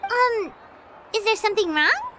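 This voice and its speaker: An extremely high, squeaky little fairy for a fantasy game. She is childlike, chirpy and naive, with eager, lively swings in pitch, against loud background noise. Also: measured pace, full character voice. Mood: curious. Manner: eager, refers to herself in third person. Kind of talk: scripted video game dialogue.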